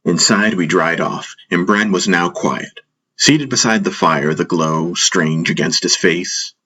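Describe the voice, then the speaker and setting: A man narrating a first-person fantasy audiobook in a solemn, measured, reflective manner. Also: low-pitched, pushed and bright, breathy edge.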